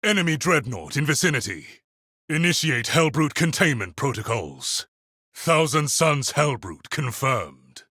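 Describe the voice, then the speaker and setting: A man's voice: mid-range voice, big pitch swings, unhurried, pushed and bright, husky, no room sound, studio quality, exaggerated voice. A man voicing a militant space marine tactician in a video game, reporting in clipped technical phrases. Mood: clipped alert. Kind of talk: video game battle barks.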